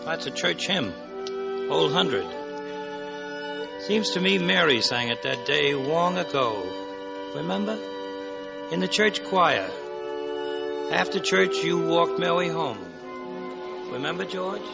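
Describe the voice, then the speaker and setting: Inquisitive confidant, gravelly, slightly high, gentle prompting, 1940s radio sound with prominent music. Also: male voice, lively intonation, takes it slowly, pauses often, highs cut off, heavily acted. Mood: gentle, curious. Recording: band-limited 1940s radio recording.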